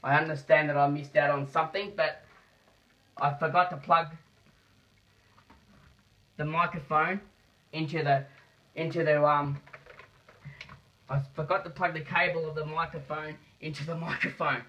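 Higher-voiced man demonstrating step by step, muffled. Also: flat delivery, bright, forceful tone. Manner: deliberate, halting, repeats phrases. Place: small indoor room. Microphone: phone camera held nearby. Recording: muffled phone video sound.

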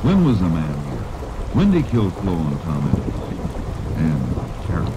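Deep-voiced, terse radio Texas Ranger. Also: male voice, exaggerated voice. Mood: calm, probing. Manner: terse, deadpan, deliberate. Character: calm, methodical male Texas Ranger narrating his case. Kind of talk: 1950s radio crime drama acting. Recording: noisy old radio recording, rumble and rain behind.

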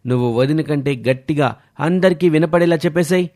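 A man's voice: fairly high pitch, a little fast, a little mellow, lightly acted. A man voicing a playful young brother-in-law in a cartoon family drama, with an emphatic, teasing delivery.

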